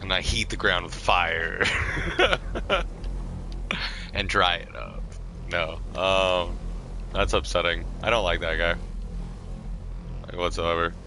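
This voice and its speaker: A man role-plays live in a fantasy video game with a slightly low, forceful voice. He improvises blunt, irritable in-character lines and asides. Faint noise runs behind him. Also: lively intonation, takes it slowly, long pauses.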